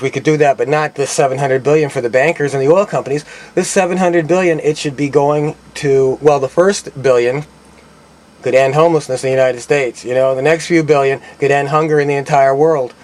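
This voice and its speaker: A man with a mid-pitched voice delivers an off-the-cuff political commentary. He sounds earnest and opinionated, and he often halts, stammers and restarts a phrase as he works through his thought. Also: a little mellow. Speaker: online commentator. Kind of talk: unscripted political monologue.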